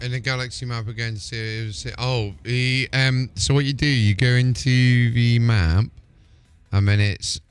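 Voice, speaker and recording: Low-pitched man chatting on a game stream. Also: a little mellow, smooth, no echo. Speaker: streamer and gamer. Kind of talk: casual live gaming commentary.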